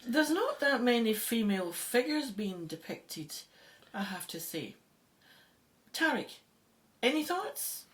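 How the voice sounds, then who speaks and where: An actress in an audio drama playing a brisk, commanding Egyptologist who leads a dig and marvels at what she sees. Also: a little high, big pitch swings. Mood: businesslike.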